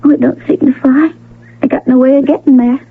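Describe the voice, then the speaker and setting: High, husky frontier ranch girl. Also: flat delivery, brisk. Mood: hesitant, downcast. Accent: American Western drawl. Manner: plainspoken, rustic. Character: overworked, wary young frontier ranch woman, wistful and plainspoken. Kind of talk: old-time radio drama acting. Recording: phone-like old radio broadcast, faint music.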